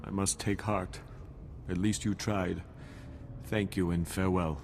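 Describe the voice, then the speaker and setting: A man's voice: sits low, flat delivery, unhurried, darkish tone, in a large hall, slight background noise. A man playing a lovelorn, melodramatic abandoned lover in a video game, mournful in his delivery. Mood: resigned.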